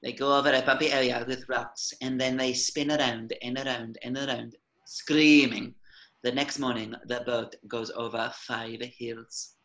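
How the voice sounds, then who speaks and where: Bright, crisp-edged male script narrator. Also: medium pitch, steady tone, unhurried, low-quality recording. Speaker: narrator reading stage directions. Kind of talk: scripted narration, cartoon table reading.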